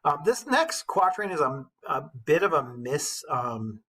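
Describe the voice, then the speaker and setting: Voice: medium pitch, sing-song, a little slow, fairly bright tone. A teacher reading a poem aloud with dramatic storytelling emphasis, breaking into casual, informal commentary.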